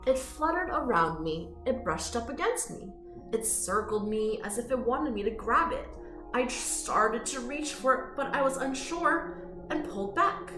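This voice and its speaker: A woman reading a picture book aloud to children in an expressive, inviting way, with friendly chatty asides. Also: a little high, unhurried, music in the background. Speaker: children's storytime reader. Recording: roomy echo.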